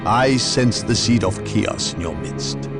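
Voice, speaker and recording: An actor playing a stern, suspicious, principled inquisitor in a video game, with a measured, formal and grave manner. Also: male voice, lowish voice, over loud music. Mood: solemn, contrite. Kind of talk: dramatic video game acting.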